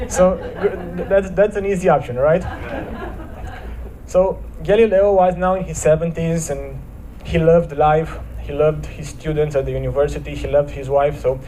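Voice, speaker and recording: A man from Romania with a Romanian accent, giving an earnest inspirational talk at a church service, slowing down to stress key phrases. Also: on the high side, fairly even intonation, dark tone. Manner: earnest, measured storytelling with slowed emphatic phrases. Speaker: guest speaker.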